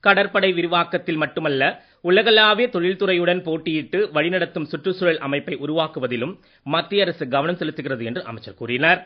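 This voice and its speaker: A male newsreader with an Indian Tamil accent delivers a formal radio bulletin. His voice sits fairly high for a man. The recording is a little dull and lo-fi. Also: a little fast.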